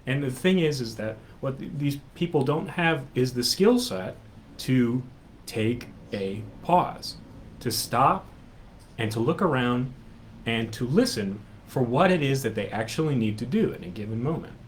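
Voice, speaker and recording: A man's voice: medium pitch, lively intonation, a little slow, slight background noise. A man co-hosting a casual chat, telling a personal story in a reflective, rambling way, often adding "right?". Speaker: music teacher, co-host.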